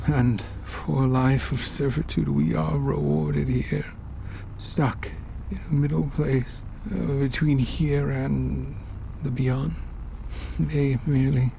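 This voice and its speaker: Guy doing a creepy polite older cult man for horror story, slow n soft-toned, talks down to you, bit of noise. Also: mid-range voice, vocal fry, voice pushed up. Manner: measured, polite, condescending, lecturing. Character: genteel, sinister older male occultist with walking cane. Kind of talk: horror story character dialogue.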